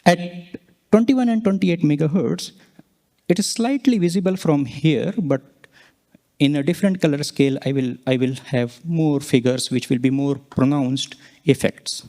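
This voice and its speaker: A man presenting scientific results, explaining charts step by step and pausing mid-sentence to point things out. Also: a little high, warm tone. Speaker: conference presenter, researcher.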